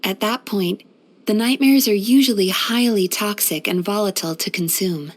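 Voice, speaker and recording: Actress doing a soft-spoken yokai therapist lady for a game, composed and thoughtful, medium pitch. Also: moderate pace, natural voice. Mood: composed, earnest. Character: gentle, composed female dream-eating yokai therapist. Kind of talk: video game character voice lines.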